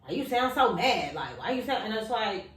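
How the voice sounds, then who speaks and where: Loud, animated woman in an echoey room. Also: fairly high pitch, moderate pace. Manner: animated, gets loud when excited, laughs often. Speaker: podcast host. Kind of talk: casual podcast chat.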